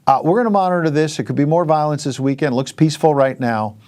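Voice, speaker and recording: A man's voice: on the high side, fast, a little mellow. A man hosting a news programme, speaking in a semi-scripted broadcast presenter style.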